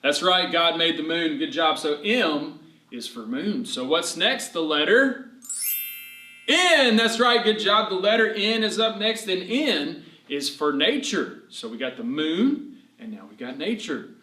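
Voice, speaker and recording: Man, children's storytime teacher, high-pitched, bright forceful tone, encouraging, question-and-answer delivery, echoey room. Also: measured pace. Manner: animated, encouraging, prompting listeners with questions. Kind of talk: read-aloud alphabet story lesson for young children. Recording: roomy, echoing room sound.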